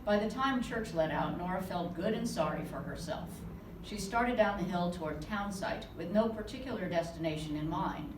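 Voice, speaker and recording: A woman author reading aloud from her novel to a live audience, giving the dialogue a lively touch. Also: lowish voice, normal speed, hardly any pauses. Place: conference room. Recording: roomy, distant with background noise.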